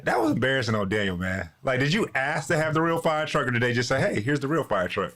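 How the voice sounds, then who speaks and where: A man with a medium-pitched voice hosts a podcast. His talk is laid-back and familiar, full of slang, in an easy chat style. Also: expressive, quick pace, a bit bright. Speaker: podcast co-host. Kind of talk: casual chat.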